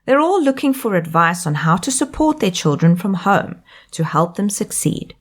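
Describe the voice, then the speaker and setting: A South African woman in her thirties, a life coach hosting her own podcast, reading a scripted monologue in an upbeat, expressive way. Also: mid-range voice, normal speed.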